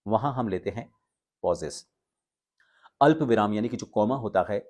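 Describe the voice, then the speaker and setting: A man giving an instructional talk to viewers as a voice coach, explaining with pauses and stress on key words. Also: lowish voice, expressive, a little mellow. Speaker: voice coach and video presenter.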